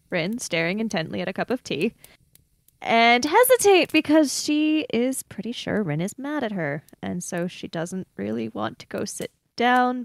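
A woman's voice: a little high, vocal fry, no echo. A woman improvising narration and dialogue as a player in a tabletop role-playing game.

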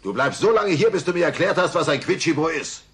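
A man's voice: fairly high pitch, lively intonation, fast, natural voice. A man voicing a dim, hot-tempered, blustering cartoon dad in an audio drama. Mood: angry. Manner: grumbling, blustering.

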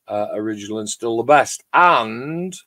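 A middle-aged man with a loud voice, chatting casually and in a rambling way as host of a hobby livestream. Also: medium pitch, lively intonation, normal speed, no room sound, clean studio sound. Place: home garage workshop shed.

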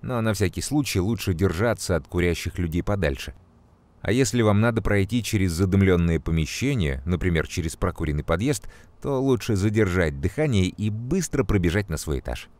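Deep-voiced cartoon mentor, calm and instructive. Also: male voice. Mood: earnest, explanatory. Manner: measured, didactic, clearly enunciated. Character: calm, knowledgeable adult male mentor explaining to children. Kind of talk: educational children's cartoon explanation. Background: music.

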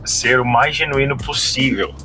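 A man's voice: mid-range voice, measured pace, music in the background. A Brazilian man in his thirties, a podcast guest, chatting casually and with animation inside a car. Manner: relaxed, slangy, animated storytelling. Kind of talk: casual chat. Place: parked car interior. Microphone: fixed dashboard camera.

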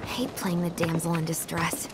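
A young woman playing a spirited, teasing rebel fighter in a video game, playful and expressive. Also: on the low side, normal speed, very noisy, natural voice. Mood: sheepish. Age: young adult. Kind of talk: cinematic video game acting. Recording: game music and effects behind.

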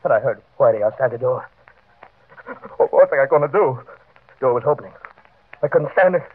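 A tinny, narrow-band vintage radio recording carries a hunted small-time crook in a 1940s crime play. He tells of his downfall in slangy, fatalistic tough-guy talk, with a medium-pitched voice. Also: expressive, normal speed, husky. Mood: panicked. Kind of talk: 1940s radio drama acting.

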